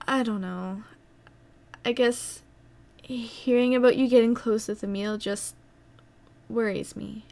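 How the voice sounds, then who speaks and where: Casting: actress as anxious, wistful young woman, fairly high voice, natural wartime audio drama delivery. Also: steady tone, slow, creaky, pauses often, darkish tone. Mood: worried. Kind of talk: amateur audio drama acting.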